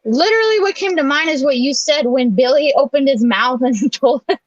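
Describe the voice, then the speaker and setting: A woman's voice: sits high, animated, a little fast, dry recording, highs cut off. A woman chatting casually as a panelist on a livestream. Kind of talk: casual chat.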